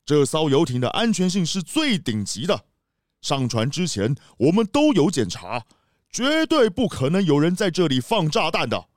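A grand, animated city mayor. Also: male voice, fairly high pitch, moderate pace, no room sound, clean studio sound. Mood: firm, reassuring. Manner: grand, reassuring host's address. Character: jovial, reassuring city mayor hosting a cruise. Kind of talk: children's mystery audio drama.

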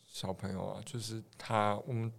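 A man's voice: lowish voice, level tone, normal speed, gravelly. A man with a Taiwanese accent hosting a podcast in a casual, conversational style. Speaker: podcast host. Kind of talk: casual chat.

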